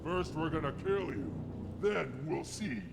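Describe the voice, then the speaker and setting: An actor playing a gruff, greedy mercenary leader in a video game, full of menace. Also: male voice, on the high side, expressive, measured pace. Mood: gruff, menacing. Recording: loud ambient machinery noise.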